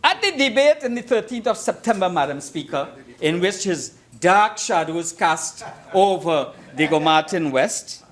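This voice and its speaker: A man's voice: sits high, expressive, a little slow, hardly any pauses. A Trinidadian man giving a formal parliamentary debate speech as an opposition member, emphatic and oratorical, repeating phrases for effect. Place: parliament chamber.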